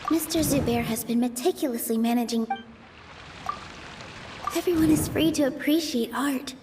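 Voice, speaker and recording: A gentle, earnest young female performer from a video game, acted by a woman. Her voice is high and sincere, reasoning with care over faint background noise. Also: normal speed, natural voice. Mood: earnest, resolute.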